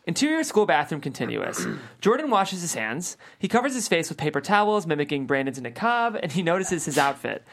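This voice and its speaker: A woman's voice: sits low, very animated, brisk. A woman reading stage directions as scripted narration at a comedy table read.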